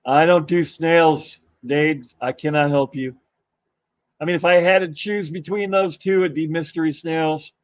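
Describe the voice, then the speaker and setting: A man hosting a live stream, chatting casually and greeting viewers in a rambling, halting way while his voice is going hoarse. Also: on the high side, steady tone, dry recording, highs cut off. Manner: rambling, halting, with many fillers and restarts. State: losing his voice. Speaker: livestream host.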